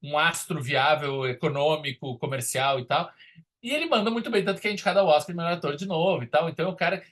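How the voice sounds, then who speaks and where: A man's voice: a little high, quick pace, a bit bright. A man with a Brazilian accent co-hosting a film podcast, talking casually in an enthusiastic, rambling way.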